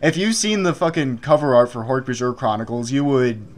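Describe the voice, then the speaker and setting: A man talks casually over a video game with friends. His pitch is mid-range and his language is freely profane. He sounds like a let's player joking and explaining as he goes. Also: a little fast, crowd noise. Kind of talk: casual joking group gameplay commentary.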